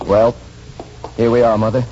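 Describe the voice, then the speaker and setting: A man playing an intense, proud young husband in an old radio melodrama. His mid-pitched voice is earnest and insistent, heard through a dull, noisy vintage recording. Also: little pitch movement, normal speed, warm tone, natural voice. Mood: hopeful. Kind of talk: 1940s radio drama acting.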